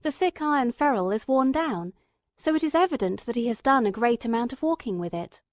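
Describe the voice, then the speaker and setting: A woman voices an earnest, eager male companion telling a detective story. The voice is fairly high, with careful, literate audiobook phrasing. The recording is dry, with hardly any room sound. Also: animated, a little fast, no echo, close to an everyday voice. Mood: earnest, reasoning. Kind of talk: scripted audiobook narration.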